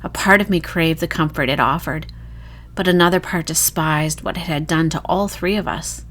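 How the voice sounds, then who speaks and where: A woman reading a first-person horror short story in a dramatic, emotive, hushed storytelling manner. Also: low voice, moderate pace, slight background noise.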